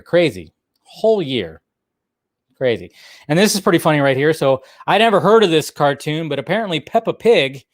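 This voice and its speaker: Male stream host, voice a bit above average in pitch, loose conversational delivery, repeats himself, dry sound. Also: no echo. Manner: repeats phrases, talking to viewers. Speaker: host of a live pop-culture talk stream. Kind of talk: casual rambling livestream chat.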